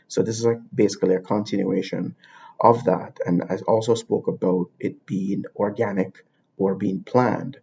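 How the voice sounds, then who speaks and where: Husky, gravelly man, low and warm. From Jamaica. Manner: thinking aloud, circling back and repeating words. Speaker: podcast host. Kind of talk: unscripted explanatory monologue. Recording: dull lo-fi recording.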